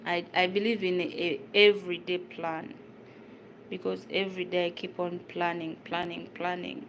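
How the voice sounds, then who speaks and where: A woman's voice: fairly low pitch, little pitch movement, normal speed. A young woman from Uganda with a Ugandan accent, a native Luganda speaker, chatting casually with viewers while cooking. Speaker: vlogger. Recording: noisy home recording.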